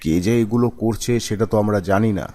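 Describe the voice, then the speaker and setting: A man playing a practical, steady villager in a cartoon ghost story, speaking in a measured, earnest way. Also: fairly low pitch, natural voice. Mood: advising, calm. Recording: background music under the voice.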